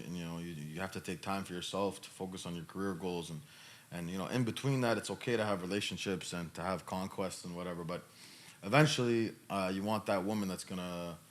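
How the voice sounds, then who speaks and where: A man in his thirties talking casually as a podcast host, emphatic and given to repeating his phrases. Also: deep voice. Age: 30s. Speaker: podcast host answering questions. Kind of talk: casual conversational talk.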